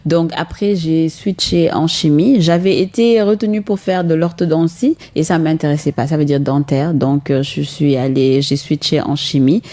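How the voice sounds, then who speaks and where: Woman, thirties, warm dark tone, low-ish voice, earnest, hesitant. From Senegal. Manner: earnest, measured, frequent hesitations. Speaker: guest, chemist and entrepreneur. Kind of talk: radio interview answers.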